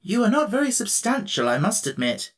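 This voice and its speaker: A man's voice: sits high, big pitch swings, fairly quick, voice pushed up. A man reading an audiobook, voicing a courtly and dignified tin emperor with formal, gracious speech. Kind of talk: audiobook character voice.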